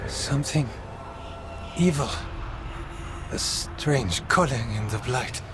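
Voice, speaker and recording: An actor playing a gentle, earnest warden in a video game, with a halting, thoughtful delivery. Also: male voice, mid-range voice, animated, noisy background. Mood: uneasy, brooding. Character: gentle, earnest male warden, uneasily attuned to eerie evil. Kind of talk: dramatic video game acting.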